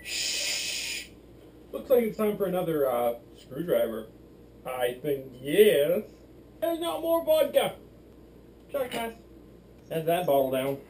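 A man's voice: on the high side, big pitch swings, slow, pauses often, a little mellow, slight background noise. A drunk young man rambling and playing silly while he bakes in a small home kitchen, recorded by a camera across the room. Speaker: vlogger. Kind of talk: drunken cooking demo vlog.

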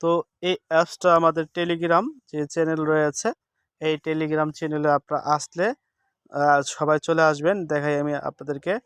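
Higher-pitched, clear man. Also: monotone, moderate pace, a bit bright, no echo. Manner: instructive, repeats steps and seeks confirmation. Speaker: tech tips host. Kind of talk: casual screen-recording tutorial.

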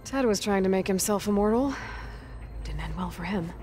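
A woman's voice: expressive, moderate pace, mellow, soft background music, lightly acted. A woman playing an earnest young female adventurer in a video game, talking in a plain, thoughtful way. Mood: thoughtful, questioning. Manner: plain-spoken, thoughtful, low-key. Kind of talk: scripted video game dialogue.

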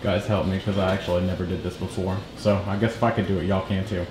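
A man's voice: low voice, flat delivery, fast talker. A man hosting a casual home cooking video, cracking jokes and bantering with his wife. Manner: joking, playful banter. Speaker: cooking show host. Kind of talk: casual cooking vlog chat. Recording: echoey room, faint music.

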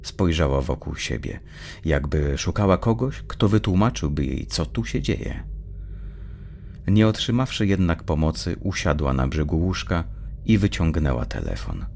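A man narrating dark fiction from a script in a measured, suspenseful, dramatic storyteller's manner. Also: rumbling low, animated, gravelly, slight background noise.

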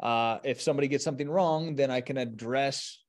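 A man's voice: medium pitch, fairly quick. A middle-aged man, a teacher, explaining his methods with examples on a video call. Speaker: teacher, presenter. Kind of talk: explanatory webinar talk with examples.

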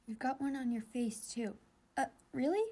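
Sweet, awkward teenage anime boys, voiced by a woman in a fan comic dub. The voice is high and casual, halting at times and teasing at others. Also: mellow, husky. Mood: shy, soft.

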